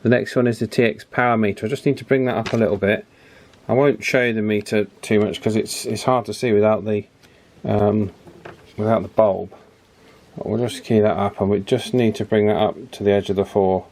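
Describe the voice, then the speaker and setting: A man giving a casual, hands-on repair demonstration, chatty and unhurried, thinking aloud as he works. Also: lowish voice, fairly even intonation, a little mellow, a little hiss or hum. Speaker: radio repair video presenter. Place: indoor electronics repair bench.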